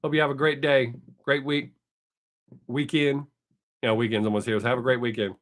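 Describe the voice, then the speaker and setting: A man's voice: medium pitch, level tone, normal speed. An older man, chatting casually with viewers on his live stream, rambling and correcting himself as he goes, his voice still not fully recovered.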